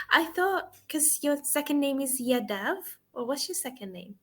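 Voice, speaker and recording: A woman's voice: sits high, very animated, measured pace. Giggly young woman chatting on a video call. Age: 20s. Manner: giggly, chatty, asks many questions. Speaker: English tutor. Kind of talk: casual video-call conversation lesson.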